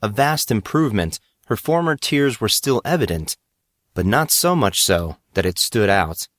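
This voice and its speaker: A man with a low voice narrates a piece of fiction. His storytelling is measured and dramatic, clearly read from a script. The recording is dry, with no room sound. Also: animated, a bit bright, no echo.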